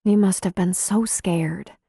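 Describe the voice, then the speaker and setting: A woman reading the part of a caring, level-headed midwife in an audiobook, gentle, earnest and concerned. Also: medium pitch, steady tone, slow pace, vocal fry, breathy edge, dry recording, clean studio sound, full character voice. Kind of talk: audiobook character dialogue.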